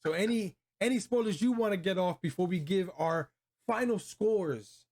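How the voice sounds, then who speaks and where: A man's voice: high voice, moderate pace, darkish tone. An American man hosting a casual film review chat, talking in an enthusiastic, slangy way. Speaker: host of a movie review show.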